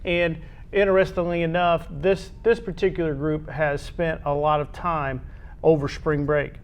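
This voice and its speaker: A man's voice: on the high side, little pitch movement, moderate pace. An American man co-hosting a casual discussion about sports. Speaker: co-host.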